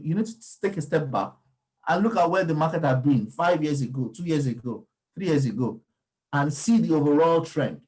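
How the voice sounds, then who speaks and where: A man's voice: mid-range voice, darkish tone, rough recording. Guy talking crypto markets, casual and rambly, keeps restarting his sentences. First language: Yoruba. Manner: discursive, frequent ums and restarts. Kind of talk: casual explanatory talk.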